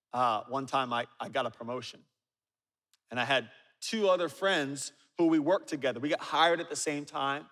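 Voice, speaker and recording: A man in his forties preaching a sermon, telling stories with humor and dropping low and quiet for asides. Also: normal speed, long pauses. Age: 40s. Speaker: pastor. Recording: reverberant hall.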